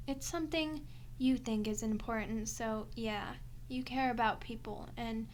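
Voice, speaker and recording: A woman's voice: a little high, slight background noise, close to an everyday voice. A woman playing a sardonic, teasing, centuries-old female vampire in an ASMR audio roleplay, sweary and unrepentantly bloodthirsty.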